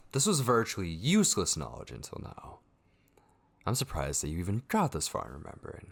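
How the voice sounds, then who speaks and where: A man playing a frantic, forgetful vampire in a horror ASMR roleplay, muttering hurried questions to himself in a husky voice. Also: sits low, huge pitch swings, a bit bright, exaggerated voice. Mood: anxious, frustrated.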